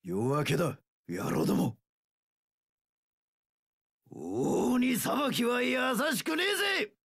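A rough young pirate warlord for a video game. His voice is gravelly and swoops dramatically between low and high. He takes his time over each phrase like a brash gang boss rallying his crew, recorded dry and close. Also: huge pitch swings, no echo, full character voice. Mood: fierce, rousing. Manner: rough delinquent-boss speech, drawn-out battle cries. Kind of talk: video game character voice lines.

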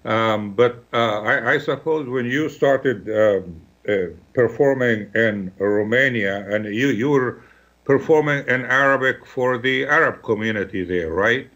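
A male radio host with a bright, forward tone. He asks his questions in a searching way, rephrasing them as he talks. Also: mid-range voice, measured pace. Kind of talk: radio interview.

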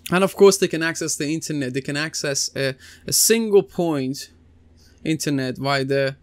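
A man in his thirties explains networking over slides in a loose, chatty way, stopping on "uh" and restarting his sentences. His voice is smooth and clear, a little higher than most men's. The room sounds dry and close, recorded near a desk camera. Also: normal speed, no echo. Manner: conversational, many uh fillers and restarts. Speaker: computing teacher. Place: small indoor room, seated at desk.

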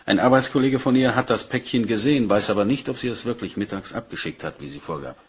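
A man's voice: on the low side, fast, breathy edge. A man acting a dutiful, matter-of-fact police inspector in a German crime radio play, polite and orderly in his delivery. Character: dutiful, matter-of-fact male Scotland Yard detective inspector.

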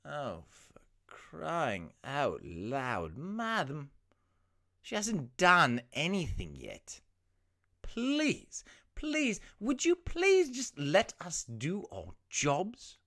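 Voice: mid-range voice, huge pitch swings, drawn-out words, a bit bright, no echo, clean studio sound, heavily acted. A performer playing a pompous male casting director in a comedy sketch, impatient and prone to stammering. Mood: exasperated. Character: exasperated male casting director for a baby-food ad.

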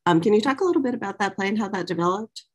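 A woman's voice: medium pitch, expressive, racing, slightly rough. A middle-aged woman hosting a casual conversation over a video call, putting audience questions in an informal, hesitant way. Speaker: interviewer, moderator. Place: small office, indoors. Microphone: video call, computer microphone.

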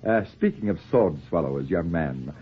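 An actor playing a breezy, flippant middle-aged runaway husband in a 1940s radio play, with glib, dryly witty, theatrical delivery. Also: male voice, low voice, lively intonation, normal speed, breathy edge. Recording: muffled, scratchy 1940s radio recording.

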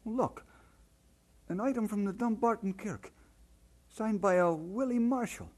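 An actor playing an earnest young Scottish working lad in an old-time radio drama. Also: male voice, high-pitched, measured pace, a little mellow, husky. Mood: curious, eager.

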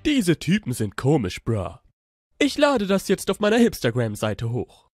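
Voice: medium pitch, wildly swooping pitch, unhurried. A smug, laid-back hipster monster from a cartoon acted by a man. The casual, slangy delivery slides widely up and down. Faint music sits underneath. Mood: unimpressed. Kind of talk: cartoon fandub acting.

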